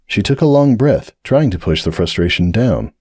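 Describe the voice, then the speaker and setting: A man narrating a dramatic audiobook reading of a fanfiction story in a measured, expressive way. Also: fairly low pitch, breathy edge, no echo, studio quality.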